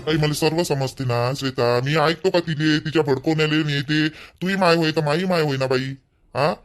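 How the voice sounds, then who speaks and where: Guy acting fed-up husband arguing fast, insistent, kinda high voice, varhadi accent, cartoon family drama. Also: steady tone, soft background music, lightly acted. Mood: placating. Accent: Varhadi Marathi (Vidarbha). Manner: argumentative, insistent. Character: exasperated husband and brother defending himself.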